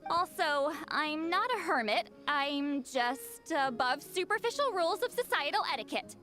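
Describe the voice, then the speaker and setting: A proud, aloof shaman from an anime game. Her high voice moves animatedly up and down, haughty yet easily flustered. Soft music sits behind it. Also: expressive, moderate pace. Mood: defensive pride. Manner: haughty, hesitant when flustered.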